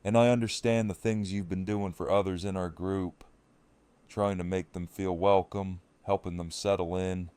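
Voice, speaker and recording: An actor playing an agitated, embittered leader of apocalypse survivors in an audio drama, confrontational and forceful. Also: male voice, low-pitched, level tone, moderate pace, clean tone, dry recording, lightly acted. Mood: strained gratitude.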